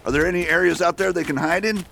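A man playing a determined U.S. marshal in an amateur radio drama, steady and plain-spoken. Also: high-pitched, fast, creaky, a little hiss or hum. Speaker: voice actor.

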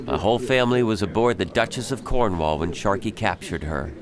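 A man's voice: lowish voice, fairly quick, noisy background, natural voice. A man playing a grave, determined shipowner in a radio drama, with formal, commanding, old-fashioned speech. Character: grave, determined bereaved shipowner seeking revenge.

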